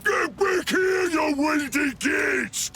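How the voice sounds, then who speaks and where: A man voicing a belligerent, bullying ork warboss in a video game, gruff, gravelly and shouting. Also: high voice, very animated, slow pace, full character voice. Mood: enraged. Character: loud, belligerent male ork warboss pilot, greedy and bullying. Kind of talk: video game character acting.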